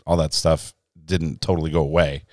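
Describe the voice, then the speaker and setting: A man's voice: a bass voice, flat delivery, moderate pace, a little mellow, dry recording, studio quality. A man hosting an interview who asks questions and often affirms his guests with short replies. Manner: affirming, many short backchannels. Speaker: interviewer, host. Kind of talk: casual conversational interview.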